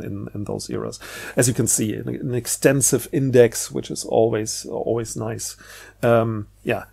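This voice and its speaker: Mellow middle-aged man, rambling thoughtfully. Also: mid-range voice, gravelly. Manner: hesitant, rambling, repeats words while thinking aloud. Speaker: book reviewer. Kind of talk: casual unscripted musing over books.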